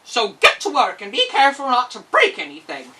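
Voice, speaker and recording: Lady doing a mean bossy storybook giant guy, forceful and swoopy. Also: fairly high pitch, wildly swooping pitch, a little fast, recorded from a distance, pitched above the performer's own voice, full character voice. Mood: stern, threatening. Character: stern bullying male giant, bossy and threatening.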